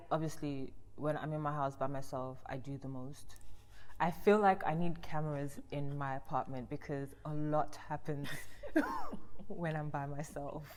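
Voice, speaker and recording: Lively, low-voiced woman from Nigeria. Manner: animated and expressive, laughs often. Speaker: guest, model and TV presenter. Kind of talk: casual conversational interview. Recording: long reverb from the room.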